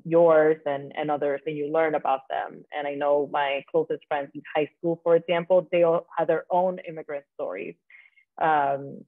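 A woman's voice: sits low, dry recording. Woman from the Dominican Republic on tinny call audio. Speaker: panelist. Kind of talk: personal career story on a panel.

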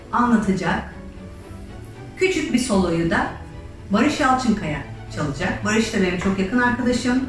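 A woman hosting an educational musical storytelling session, explaining things and addressing her audience directly. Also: mid-range voice. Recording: very echoey, distant microphone, faint music.

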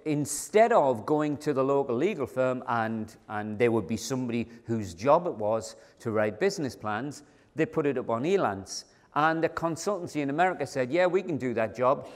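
A man's voice: mid-range voice, lively intonation, normal speed. Older man giving a hall keynote. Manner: conversational, emphatic, addresses the audience directly. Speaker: keynote speaker. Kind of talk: conference keynote talk. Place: large conference hall with seated audience. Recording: long hall reverb.

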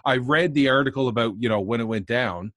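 A man's voice: medium pitch, brisk, fairly bright tone, no echo, clean studio sound. A man in his thirties hosting a podcast, asking loose, hesitant questions from a room at home. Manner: loose, hesitant, lots of fillers. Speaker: podcast host. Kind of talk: casual interview questions. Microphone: studio microphone on a stand.

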